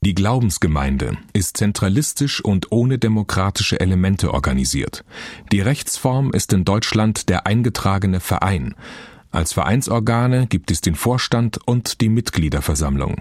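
An actor playing a factual documentary narrator, reading information soberly and formally within a radio drama. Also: male voice, low-pitched, sing-song, normal speed, a little mellow, dry recording, studio quality. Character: factual documentary narrator describing a religious association.

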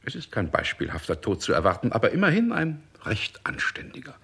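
An actor playing an officious, unfeeling court doctor in a 1960s German radio play, declaiming with clinical precision. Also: male voice, lowish voice, huge pitch swings, fairly quick, gravelly, a bit bright, husky, exaggerated voice. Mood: clinical, declamatory.

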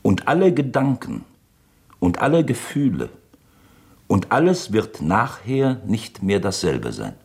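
Elderly, haunted uncle for radio drama: very low, husky, pensive, uneasy. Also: male voice, very animated, a little mellow, heavily acted. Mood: uneasy, gripped. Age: older. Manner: halting, pensive, dramatic when quoting. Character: brooding elderly uncle, uneasy and fascinated by a manuscript.

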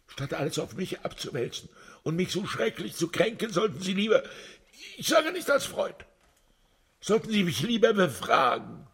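An actor playing an accused prisoner in a radio drama, pleading his innocence emotionally with a husky voice. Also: sing-song, moderate pace, a bit bright, heavily acted. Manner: pleading, emotional, swinging pitch.

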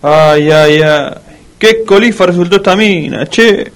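A man's voice: high voice, measured pace. An Argentine man playing a loyal, consoling buddy in a comic radio drama, speaking colloquially. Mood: casual. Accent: Argentine Rioplatense. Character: loyal, consoling male buddy of the dog owner. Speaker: radio comedy actor.

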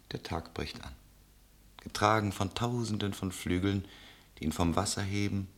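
A dreamy male traveller tells his story in a deep, low voice. His delivery is lyrical and measured, in the manner of a literary radio play. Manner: poetic, measured recitation.

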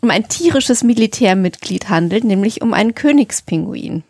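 A woman's voice: mid-range voice, animated, normal speed, darkish tone, no room sound, clean studio sound. A woman co-hosting a podcast, telling a story casually in a playful, teasing way. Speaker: podcast co-host.

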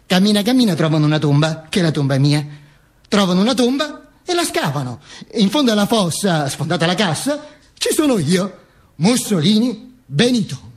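An actor playing a dead Mussolini who narrates from the grave in a theatrical monologue, stammering and repeating himself, with mock-heroic declamation. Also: male voice, high-pitched, big pitch swings. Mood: wry storytelling. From Italy. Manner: stammering, self-correcting, repetitive, slipping into slow declamation. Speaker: stage actor performing a monologue.

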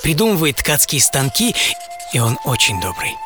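A man's voice: medium pitch, very animated, normal speed. A man telling a dramatized first-person story as a radio presenter, theatrically, savouring short phrases. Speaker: writer and presenter of the programme. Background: loud music bed.

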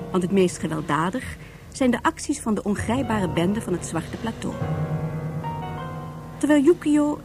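A woman's voice: on the high side, darkish tone. A woman giving scripted narration for a science-fiction radio serial. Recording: faint music behind.

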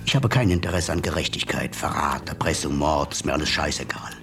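An actor playing a cool, mocking male blackmailer in a radio play, smooth and unhurried. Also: extremely low pitch, animated, fairly bright tone, recorded from a distance, full character voice. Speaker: blackmailing hacker visitor. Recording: voice set back from mic, music behind.

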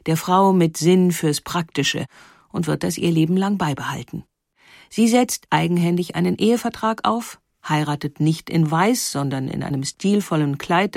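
A woman narrating a scripted historical documentary in a measured, clearly phrased storytelling manner. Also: on the low side, darkish tone, no room sound, studio quality. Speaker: narrator of a historical audio feature.

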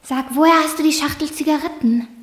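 A woman's voice: high voice, a little fast, a little hiss or hum, close to an everyday voice. A woman playing a cautious, principled thirteen-year-old girl in a radio play, speaking in terse, admonishing phrases. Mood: wary, questioning. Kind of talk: German radio play acting. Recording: roomy echo, music behind.

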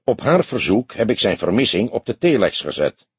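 A man's voice: on the low side, very animated, normal speed, breathy edge, no echo, exaggerated voice. A man voicing a grizzled older detective in an audiobook, dry and stubborn, with a laconic, mocking manner. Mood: laconic, firm. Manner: laconic, sardonic. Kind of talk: audiobook character voice.

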